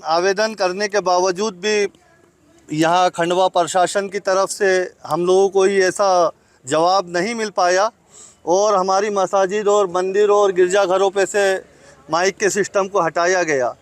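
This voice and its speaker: High-pitched man giving a formal statement. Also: steady tone, measured pace, no echo. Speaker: community representative. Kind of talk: statement to news camera.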